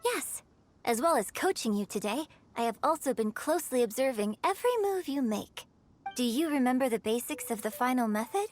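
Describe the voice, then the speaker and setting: A graceful young woman of the opera stage, played by an actress for a video game, speaks in a fairly high voice. She is poised and articulate, an earnest mentor who instructs gently. Soft music plays behind her words. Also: close to an everyday voice. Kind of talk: scripted video game character dialogue.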